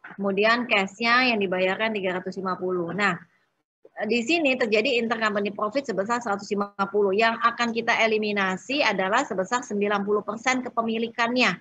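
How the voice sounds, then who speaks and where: A woman working through exercises as an accounting instructor in an informal step-by-step lecture, often pausing and adding short check-in tags. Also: mid-range voice, steady tone, fairly bright tone, slightly rough, no echo, poor audio.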